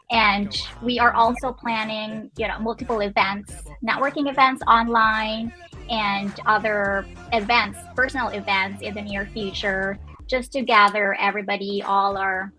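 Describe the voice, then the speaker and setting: Woman, 30s, from the Philippines, interview guest; eager and halting, crisp bright tone. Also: mid-range voice, poor audio. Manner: eager, many fillers and restarts. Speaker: guest, nurse and business owner. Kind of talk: casual interview talk.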